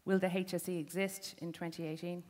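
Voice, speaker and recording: A woman asks quick-fire interview questions briskly and presses for short, direct answers. Her voice sits a little low. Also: little pitch movement, darkish tone. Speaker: interviewer, health policy analyst. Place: conference hall.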